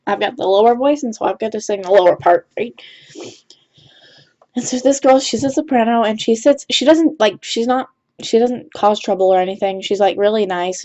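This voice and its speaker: A woman's voice: mid-range voice, darkish tone. A teenage girl chatting casually while she plays, rambling with lots of fillers and restarts. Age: teens. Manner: rambling, filler-heavy, restarts often with "like" and "right?". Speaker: gaming YouTuber. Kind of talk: casual storytime chat over gameplay. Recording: dull lo-fi home mic.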